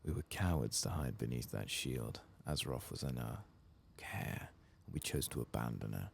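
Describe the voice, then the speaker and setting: Casting: man as ashamed exiled elf, grave and formal, very low creaky soft delivery, fantasy game dialogue. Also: lively intonation, moderate pace, a little hiss or hum, full character voice. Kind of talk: game quest dialogue read aloud softly.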